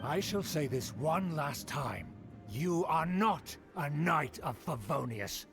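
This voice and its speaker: A haughty old noble uncle, pompous and lecturing, his high voice swinging widely with indignation. Game music plays behind him. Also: expressive, takes it slowly, gravelly, heavily acted. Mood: indignant. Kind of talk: video game voice acting.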